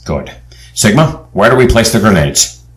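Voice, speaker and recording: A man's voice: fairly low pitch, sing-song, normal speed. A man playing a commanding, shrewd leader of an adventure team in an audio drama, authoritative and dramatic. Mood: decisive.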